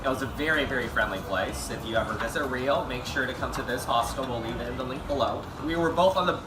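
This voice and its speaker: A man's voice: mid-range voice, fast, over loud music. Young American man, echoey and distant. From Texas, United States. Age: young adult. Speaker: interview guest, medical student. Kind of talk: casual chat. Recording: echoey, distant mic, background noise.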